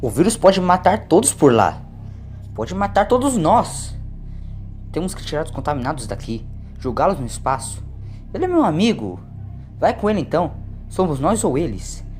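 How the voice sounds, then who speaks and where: A man plays a panicked passenger turning hostile in a sci-fi audiobook. The voice is agitated and threatening, with clear noise underneath. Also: on the high side, big pitch swings, moderate pace, long pauses, darkish tone, voice pushed up.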